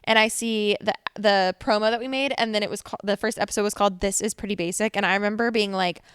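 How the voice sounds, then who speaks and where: A woman's voice: mid-range voice, fairly even intonation, quick pace, clean tone, dry recording, clean studio sound. A young woman co-hosting a podcast, chatting casually and laughing often while lying on a couch at home. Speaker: podcast co-host. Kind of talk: casual chat.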